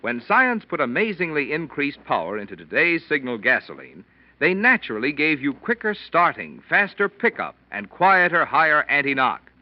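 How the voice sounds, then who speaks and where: A man's voice: on the high side, very animated, normal speed, dry recording. A man announcing in 1940s radio advertising style, with a polished, emphatic salesman's delivery. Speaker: announcer, sponsor pitchman. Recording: dull, dry old radio recording.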